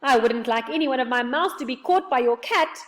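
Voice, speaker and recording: Woman voicing a dotty, excitable old man, swooping pitch. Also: a little slow, recorded from a distance. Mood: fussing, alarmed. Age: older. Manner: emphatic, exclaiming, eagerly mishearing. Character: dotty old hard-of-hearing man, cheerful, excitable, muddled. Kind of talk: children's storybook character reading.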